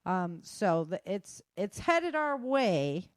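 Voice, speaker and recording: A woman's voice: medium pitch, sing-song, takes it slowly, smooth, no room sound. Woman, podcast host, conversational, informal news roundup, dry sound with little room. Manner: conversational, addressing listeners informally. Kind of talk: casual news roundup on disasters and weather.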